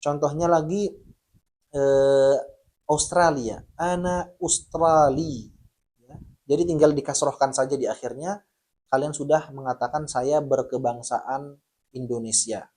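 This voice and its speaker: A man with a voice pitched a little above the usual range teaches a beginners' lesson. He is friendly and patient and talks to viewers as friends. He slows down sharply to pronounce each example phrase clearly. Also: darkish tone. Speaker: language teacher.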